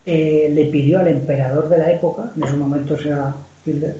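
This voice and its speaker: A man from Spain talks as an author about his historical novel, circling back and restarting his sentences. His voice sits a little high with a dark, mellow color. The sound is muffled and lo-fi, with a roomy echo around him. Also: fairly even intonation, fairly quick. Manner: rambling, frequent restarts and repeated words. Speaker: novelist guest. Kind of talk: casual conversation on history. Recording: dull lo-fi sound in an echoey room.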